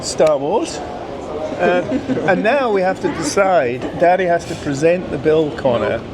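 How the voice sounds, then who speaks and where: A man's voice: on the high side, animated, a little slow. A man hosting a restaurant review vlog, chatting casually and teasing his friends at the table. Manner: teasing, joking banter. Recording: loud street noise behind.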